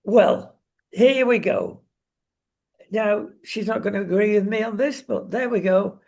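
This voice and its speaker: A woman's voice: mid-range voice, expressive, measured pace, creaky, darkish tone. An older woman with a British accent hosting a casual opinion chat in a wry, exclamatory way.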